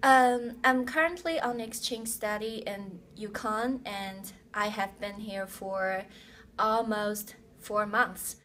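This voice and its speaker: A woman, earnestly answering interview questions with pauses and ums. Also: medium pitch, fairly even intonation, a bit bright. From mainland China. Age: 20s. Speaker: exchange student interviewee. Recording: distant mic with faint room noise.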